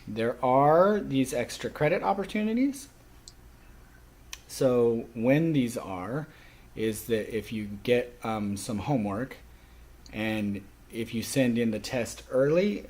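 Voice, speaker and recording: A man speaking as a college instructor, explaining course rules to a class in an instructional way with frequent short pauses. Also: medium pitch, a little mellow. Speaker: college sign language instructor.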